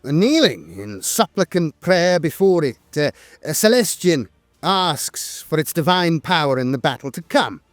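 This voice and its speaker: A man's voice: a little high, very animated, a little slow, no echo, soft background music. A man narrating scripted lore in a solemn, formal and dramatic way.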